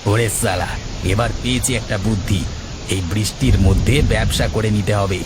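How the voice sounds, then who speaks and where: A man's voice: lowish voice, steady tone, normal speed, noisy background, natural voice. A man voicing a cunning, teasing village trader in a colloquial cartoon folk tale. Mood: scheming. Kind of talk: acted cartoon dialogue.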